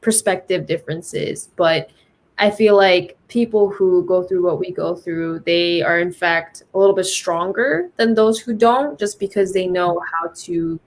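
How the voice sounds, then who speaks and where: A woman with an American accent talking informally and reflectively as a guest in a casual interview, explaining things. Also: lowish voice. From United States.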